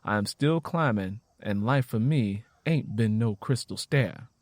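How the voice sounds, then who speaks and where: A man's voice: on the low side, a little slow, darkish tone, no room sound, clean studio sound, close to an everyday voice. A man voicing a weary, resilient mother with a Southern US accent, earnestly reciting advice to a son. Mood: weary, encouraging.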